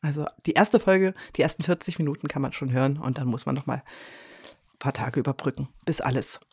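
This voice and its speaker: A woman's voice: extremely low pitch, a little fast, a little mellow, husky. A woman speaking casually as an interview guest, chatting about her work as a director. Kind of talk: casual chat.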